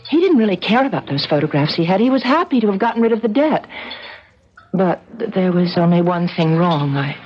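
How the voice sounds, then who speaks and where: An actress playing an earnest, uneasy young woman in a vintage radio drama, speaking in a measured, troubled way. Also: lowish voice, very animated. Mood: uneasy, confiding. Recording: muffled old radio recording, faint hiss.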